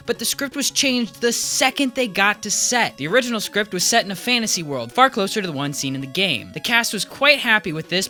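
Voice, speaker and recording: This narrator tells a comedy video essay with wry asides and an animated delivery. The voice is bright and forward, swings widely in pitch, and has faint music underneath.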